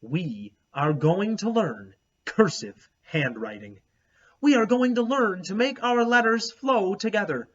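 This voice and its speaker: A man voicing an upbeat, patient woman who teaches third grade, in a deliberate, instructive storybook reading. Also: sits high, lively intonation, a bit bright, voice pushed up, exaggerated voice. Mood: upbeat, encouraging. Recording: dull, lo-fi recording.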